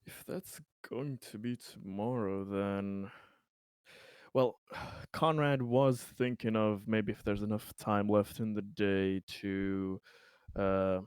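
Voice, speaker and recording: A male tabletop player talking in a low, smooth voice, close and dry. He takes his time, hesitating between phrases as he casually lays out what his character would do. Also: fairly even intonation, no echo. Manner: hesitant, pauses mid-sentence. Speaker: player in a tabletop roleplaying game. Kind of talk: casual tabletop play, describing his character in third person.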